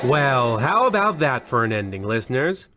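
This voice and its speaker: A man playing a pompous, melancholy radio horror host, arch and deadpan, in an old-time parody style. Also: medium pitch, normal speed, loud music behind the voice, lightly acted. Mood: wry. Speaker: host narrator.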